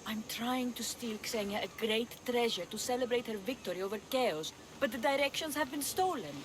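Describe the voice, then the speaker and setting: A woman acting as a sly pirate schemer in a video game. She talks casually and teasingly with a flippant edge, with clear ambient noise behind her. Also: a little high, lively intonation, moderate pace, natural voice. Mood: eager, scheming.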